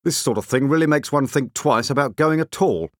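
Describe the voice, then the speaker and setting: A man voicing a short, scowling, snobbish man in an audiobook, disdainful and needlessly loud. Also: mid-range voice, a little fast, dry recording, clean studio sound, pitched above the performer's own voice, natural voice. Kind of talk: audiobook character voice.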